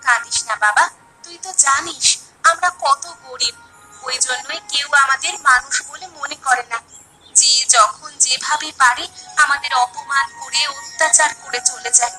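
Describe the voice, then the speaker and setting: A woman's voice: high voice, normal speed, pushed and bright, faint music behind. A woman playing an elderly, poor village mother in a cartoon story, fretful and protective, full of folksy questions and exclamations. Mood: sad, consoling. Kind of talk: Bengali animated moral story acting.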